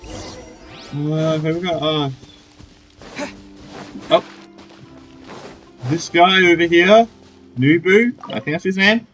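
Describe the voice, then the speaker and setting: A gaming YouTuber with a mid-pitched voice does casual let's play commentary. The delivery is loose and amused, full of muttered reactions over faint game music. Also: slow, long pauses, fairly bright tone.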